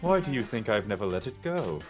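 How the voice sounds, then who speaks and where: A man playing a dry, sardonic prosecutor in a video game fan dub, speaking in a measured, formal, deadpan way. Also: lowish voice, faint music behind. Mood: dry sarcasm.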